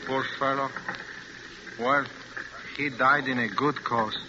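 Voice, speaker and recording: Through a noisy, muffled old radio recording, an actor plays a sober man at a wartime scene. His delivery is slow, sympathetic and matter-of-fact. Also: medium pitch, fairly even intonation, lightly acted. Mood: solemn. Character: solemn, matter-of-fact man tending to war casualties. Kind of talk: 1940s radio drama acting.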